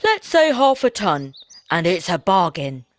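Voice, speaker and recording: A woman's voice: lowish voice, big pitch swings, measured pace, no room sound. A woman voicing a poor, hungry woodman who talks in a sly, coaxing way. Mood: crafty. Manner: crafty, coaxing.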